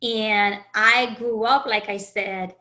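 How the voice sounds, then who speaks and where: A woman who grew up in Haiti, hosting her own podcast, talking through her thoughts in an earnest, searching way with many restarts and pauses. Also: mid-range voice, steady tone, pushed and bright. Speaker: podcast host and coach. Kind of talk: reflective solo podcast monologue.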